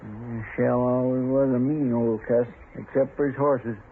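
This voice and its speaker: Guy doing folksy cowboy sidekick, gravelly country american talk, simple n loyal, tinny old radio. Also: mid-range voice, fairly even intonation, a little slow, lightly acted. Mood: concerned, folksy. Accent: rural American. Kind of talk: 1950s Western radio drama acting. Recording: narrow-band old radio recording, faint noise.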